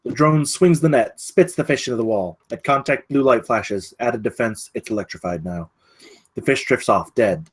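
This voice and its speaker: Man, medium pitch, narrator, screenplay stage directions, table read. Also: normal speed, husky.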